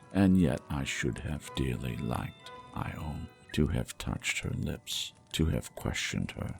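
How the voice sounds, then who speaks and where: A man reading a classic novel aloud in a measured, expressive storytelling manner. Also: rumbling low, gravelly, breathy edge. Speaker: audiobook narrator. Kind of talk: scripted narration of a classic novel.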